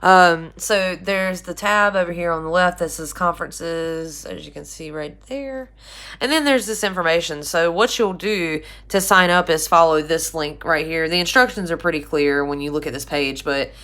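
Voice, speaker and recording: A woman, a writing instructor recording a chatty, rambling class update at home, a little hoarse from a sore throat. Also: fairly low pitch, moderate pace, pushed and bright. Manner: chatty and rambling, many ums and restarts. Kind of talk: casual recorded class announcement. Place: small bedroom at home, indoors. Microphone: phone camera at arm's length.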